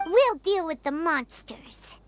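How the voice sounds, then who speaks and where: A woman's voice: very high-pitched, animated, takes it slowly, exaggerated voice. A woman voicing a small, cheerful fairy-like companion creature in a video game, chirpy and eager. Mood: caring. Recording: music behind the voice.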